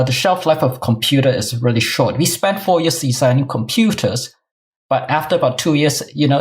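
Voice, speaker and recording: A middle-aged man with a Singaporean accent, answering interview questions conversationally as an academic guest. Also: medium pitch. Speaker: guest, New Testament professor.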